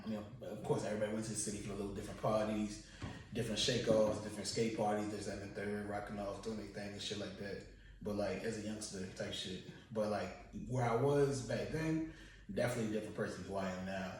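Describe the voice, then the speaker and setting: A young man from Baltimore talks in a loose, slang-heavy way as a podcast guest, his voice sitting a little low. He is recorded from some distance in a very echoey room, with faint noise underneath. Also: fairly even intonation, fast, darkish tone. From Baltimore County, Maryland. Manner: laid-back storyteller with slang and filler words. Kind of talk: casual podcast chat.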